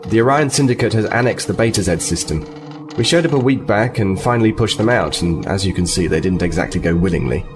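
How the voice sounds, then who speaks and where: Diplomatic lieutenant male actor, animated intonation, composed and explanatory, music behind, sci-fi audio drama. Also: on the low side, fast talker, lightly acted. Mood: businesslike. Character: earnest, diplomatic male starfleet lieutenant, liaison officer.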